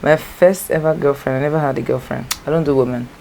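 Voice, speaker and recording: A woman's voice: rumbling low, steady tone, quick pace, vocal fry, a little mellow, a little hiss or hum, rough recording. A young Nigerian woman with an accent, Igbo as her first language, chatting casually and rambling through a storytime tag and correcting herself as she goes. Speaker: vlogger. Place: home, natural light.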